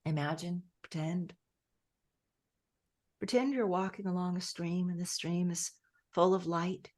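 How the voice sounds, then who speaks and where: Soft-spoken woman guiding a meditation. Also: on the low side, fairly even intonation, a little slow. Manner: soft, gentle, drifting delivery with whispered phrases. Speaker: meditation guide. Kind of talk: guided meditation.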